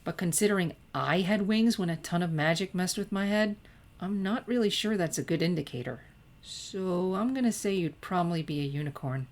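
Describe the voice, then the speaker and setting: Teenage girl, lowish voice, dry, deadpan, thoughtful, muttering, guarded, played by a woman reader. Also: moderate pace, lightly acted. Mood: dry, puzzled.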